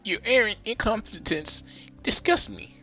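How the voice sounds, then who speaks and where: Dude doing a tiny furious boss lady, bright sharp voice, jumps all over, stiff and formal. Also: high-pitched, expressive, measured pace, faint music behind, pitched above the performer's own voice, heavily acted, poor audio. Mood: scornful, formal. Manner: stiff, formal, barking and scornful.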